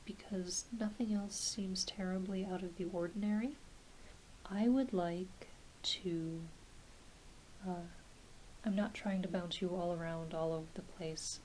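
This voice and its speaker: A woman playing a gentle, reassuring doctor in an ASMR roleplay, speaking softly and hesitantly, often dropping to a whisper. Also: medium pitch, natural voice, poor audio. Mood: hesitant, apologetic. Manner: hesitant, unhurried, apologetic and reassuring. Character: gentle, reassuring female doctor examining a werewolf patient. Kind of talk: soft-spoken ASMR medical roleplay. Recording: constant soft background hiss.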